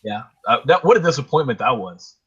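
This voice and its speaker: A middle-aged man hosting a casual podcast, hoarse from illness, blunt and quick to swear. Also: mid-range voice, expressive, dull audio. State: hoarse, getting over a chest illness. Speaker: podcast host. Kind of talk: casual chat.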